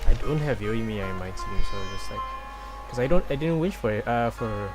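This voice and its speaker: A man's voice: medium pitch, expressive, brisk. A man giving casual, hesitant gameplay commentary, thinking aloud. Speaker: game streamer. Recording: game music behind voice.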